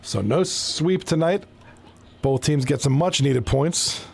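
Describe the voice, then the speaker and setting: A man's voice: a little high, takes it slowly, creaky, darkish tone, a little hiss or hum. A man from Toronto giving casual live commentary on an esports hockey league, chatting with his viewers between plays. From Canada (Toronto).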